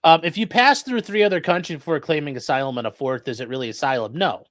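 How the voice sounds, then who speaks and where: A man's voice: a little high, fast talker, fairly bright tone, no room sound, studio quality. A man hosting a live stream, reading out viewer questions and giving casual legal commentary with wry, joking asides.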